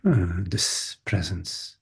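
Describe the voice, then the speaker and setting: A man leading a guided meditation as a teacher, speaking in short contemplative phrases with long pauses. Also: deep voice, lively intonation, creaky, husky. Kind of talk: guided meditation, spoken softly to listeners.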